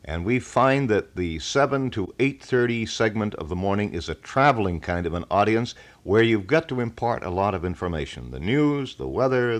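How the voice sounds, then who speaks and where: A man hosting a call-in show in casual, wordy, folksy announcer style, as on a 1980 local radio broadcast. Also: on the low side. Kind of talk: casual live radio chat. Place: radio studio.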